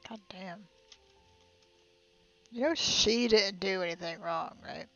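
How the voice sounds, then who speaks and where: A woman's voice: mid-range voice, slow, vocal fry, a bit bright. A woman game streamer giving casual live commentary in an informal, slangy, sweary manner. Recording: dull lo-fi stream, game music behind.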